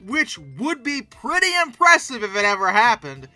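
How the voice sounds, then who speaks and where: A very bright, forceful voice with lively, swooping pitch over background music. The host gives casual, off-the-cuff reaction commentary in an expressive and emphatic way. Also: moderate pace, no room sound. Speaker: host of a paleoart commentary channel.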